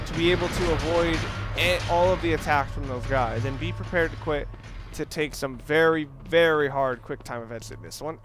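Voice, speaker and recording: A man giving casual, offhand and somewhat rambling commentary while playing a video game. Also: fairly high pitch. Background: video game music.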